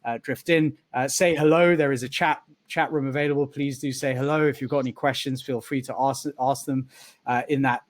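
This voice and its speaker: A man's voice: medium pitch, fairly even intonation, a little fast, no room sound. A man hosting an online interview session, rambling with many fillers and restarts. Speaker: host. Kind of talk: video call interview hosting.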